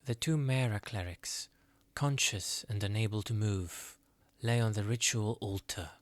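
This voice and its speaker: A man's voice: on the low side, dry recording. Male fantasy narrator, dry close sound. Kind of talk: scripted fantasy audio drama narration. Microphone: close, dry studio mic.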